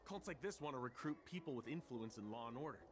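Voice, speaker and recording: A man's voice: medium pitch, animated, fast talker, background noise, natural voice. An actor playing a wary, self-assured male soldier working undercover in a video game, talking in an urgent, informal, conspiratorial way. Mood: cautious, confident.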